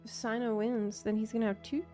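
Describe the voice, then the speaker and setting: A woman's voice: fairly high pitch, flat delivery, brisk, warm tone. A woman game streamer giving live commentary, thinking aloud and reacting to what happens, with game music behind her.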